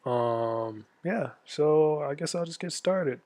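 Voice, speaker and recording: A man in his twenties from Florida, an army veteran walking viewers through a tutorial in a casual, halting way, stuffed up from a cold. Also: fairly high pitch, darkish tone, dry recording. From Florida, USA. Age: 20s. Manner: halting, many ums and restarts. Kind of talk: casual screen-share tutorial.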